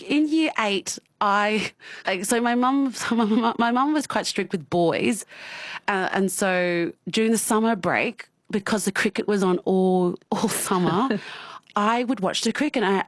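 A woman's voice: medium pitch, dry recording. An Australian woman in her thirties tells her story openly, laughing often and stumbling over her words. Her voice sits mid-range. It is recorded dry and close, with no room sound. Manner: candid, laughs often, many restarts. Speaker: interview guest. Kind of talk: personal interview.

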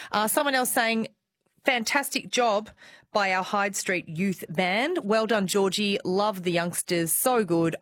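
A woman's voice: medium pitch, fairly bright tone, no room sound. An Australian woman presenting a live radio talk show, bubbly and enthusiastic, reading out listener texts. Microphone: studio microphone.

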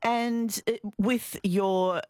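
A woman's voice: medium pitch, fairly even intonation, extremely slow, a little mellow, dry recording, clean studio sound. A woman with an Australian accent hosting a radio interview in a chatty, informal, conversational way. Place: radio studio.

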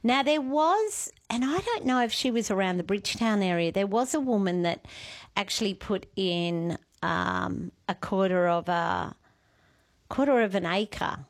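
A woman's voice: medium pitch, lively intonation, a little slow. An Australian woman hosting talkback radio, giving gardening advice in a chatty, forthright way. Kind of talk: casual radio advice chat.

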